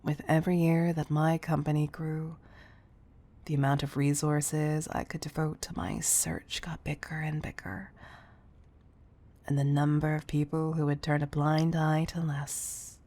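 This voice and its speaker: A woman playing a wealthy, obsessive CEO in an intimate audio roleplay, speaking in a breathy, gravelly murmur that is affectionate and confessional. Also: deep voice, little pitch movement, measured pace, dark tone. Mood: confiding, wistful. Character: wealthy obsessive female CEO, tender yet controlling. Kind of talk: intimate audio roleplay monologue, partly whispered.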